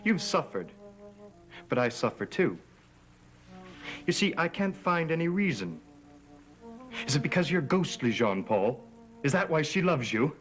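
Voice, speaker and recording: A man's voice: on the high side, sing-song, unhurried, pauses often, fairly bright tone. An actor playing a jealous, bitter young man in a 1960s soap opera, brooding and taunting, heard on an old TV soundtrack with organ music.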